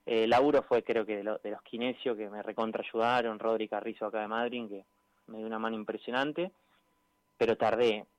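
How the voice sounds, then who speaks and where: A man's voice: lowish voice, fairly even intonation, brisk, no echo. Argentine man on a dull phone line. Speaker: interviewed amateur triathlete. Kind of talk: casual radio interview.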